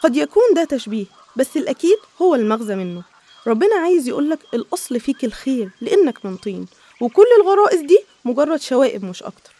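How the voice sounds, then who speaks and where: Mellow, quick-talking Egyptian woman. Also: high voice, big pitch swings. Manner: didactic, explanatory. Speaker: narrator. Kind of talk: scripted religious storytelling narration.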